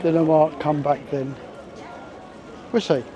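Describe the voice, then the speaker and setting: A man's voice: a little high, fairly even intonation, unhurried, dull audio, background noise. A man with a Cockney accent giving chatty walking-tour commentary outdoors, thinking aloud as he goes. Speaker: vlogger. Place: outdoor resort streets. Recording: busy street crowd behind.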